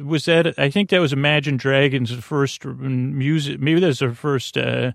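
A man with a creaky, gravelly mid-pitched voice hosts a bedtime podcast. He meanders haltingly through his monologue, often cutting himself off and circling back. The sound is dry and close, with very little room in it. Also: no room sound. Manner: meandering, halting, self-interrupting. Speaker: podcast host.

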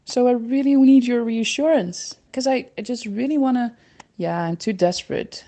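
A caller from the Netherlands with a Dutch accent leaves a voice message, rambling in an anxious, self-deprecating way. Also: female voice, a little high, moderate pace, dark tone.

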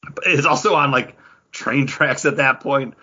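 A man's voice: medium pitch, unhurried, sharp tone, husky, muffled recording. A man co-hosting a podcast, chatting casually with a geeky fan's enthusiasm. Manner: enthusiastic geeky fan talk. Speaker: podcast co-host. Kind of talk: casual pop-culture chat.

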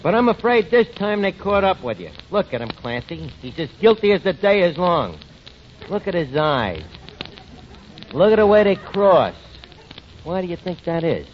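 An actor playing a scheming, slangy tavern manager with a New York accent in 1940s radio comedy. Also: male voice, high-pitched, very animated, normal speed. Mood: mock accusing. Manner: slangy, wheedling, wisecracking. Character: fast-talking scheming male tavern manager, slangy wiseguy. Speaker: lead comic actor in a radio sitcom. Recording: dull, noisy 1940s radio recording.

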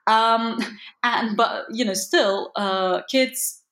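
A woman's voice: on the high side, extremely slow, fairly bright tone. Ukrainian woman in an echoey room, conversational. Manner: discursive, peppers talk with "you know". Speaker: interview guest, journalist and translator. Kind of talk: conversational interview answers. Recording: roomy, echoey sound.

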